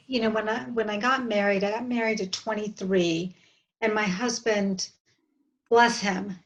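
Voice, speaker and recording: A woman of about fifty with an American accent, talking earnestly and haltingly as a guest in an interview, often restarting her sentences. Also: medium pitch, muffled recording. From southern United States. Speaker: podcast guest. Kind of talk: reflective interview conversation.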